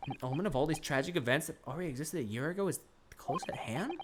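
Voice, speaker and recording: Streamer doing an excitable young lawyer. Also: medium pitch, quick pace, noisy background, lightly acted. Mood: indignant, shocked. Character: earnest young male lawyer, easily flustered. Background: game music.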